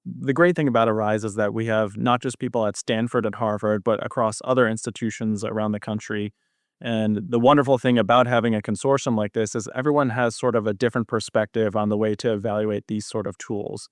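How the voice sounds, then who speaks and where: A man's voice: on the low side, steady tone, fairly quick, no echo, studio quality. A young man speaking as an expert podcast guest, explaining his work conversationally in an interview. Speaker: cardiology fellow, podcast guest.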